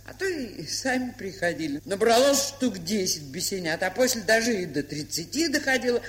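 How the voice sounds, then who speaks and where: A woman's voice: mid-range voice, animated, lightly acted. An older woman playing a kindly, chatty village aunt in an audio play, telling stories in a plain, folksy way. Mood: warm reminiscing. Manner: folksy, unhurried storytelling with fond scolding. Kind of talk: audio play monologue and dialogue. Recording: hissy, humming 1970s record.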